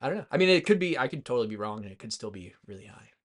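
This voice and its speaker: A man's voice: medium pitch, big pitch swings, racing. A man in his twenties co-hosting a movie podcast, talking informally and a little tentatively over drinks. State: drinking alcohol. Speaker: podcast co-host. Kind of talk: casual movie discussion.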